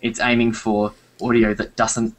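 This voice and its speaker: A young man on a video call from a room at home, explaining at relaxed length with fillers. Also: lowish voice, measured pace. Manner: relaxed, rambling with fillers and laughs. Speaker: guest, audio editor. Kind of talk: casual video-call chat.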